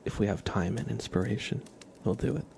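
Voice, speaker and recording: A man speaking softly and gently in a casual ASMR livestream style, often drifting into a whisper. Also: on the low side, fairly quick, creaky, slight background noise. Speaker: ASMR live streamer, host. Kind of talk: soft-spoken ASMR livestream, casual chat and tarot reading.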